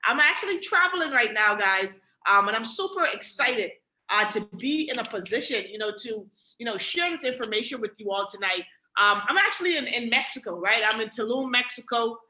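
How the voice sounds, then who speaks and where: Bright Bahamian woman pitching on a video call. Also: a little fast, reverberant, low-quality recording. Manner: energetic, repeats "right?" and "guys". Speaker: webinar presenter. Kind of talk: motivational sales pitch.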